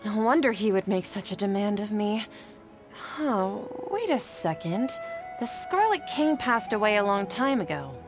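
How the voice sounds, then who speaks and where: A woman's voice: medium pitch, sing-song, measured pace. A woman acting an earnest, polite and devout young desert woman in a video game. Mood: shaken, reverent. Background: game music.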